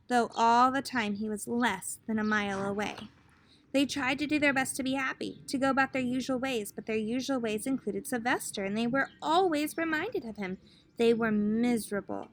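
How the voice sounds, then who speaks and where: A woman's voice: on the high side, a little hiss or hum. A woman reading a children's picture book aloud expressively, in the style of a teacher addressing young listeners. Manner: expressive, pausing to put questions to young listeners. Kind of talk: children's storybook read-aloud.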